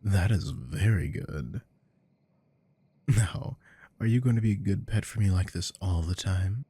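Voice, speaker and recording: A man playing a possessive, dominant boss in an intimate ASMR roleplay, teasing and commanding in a deep, gravelly murmur. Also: a little slow, mellow, no echo, exaggerated voice. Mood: smug, coaxing. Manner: commanding, coaxing, low murmurs slipping into whispers.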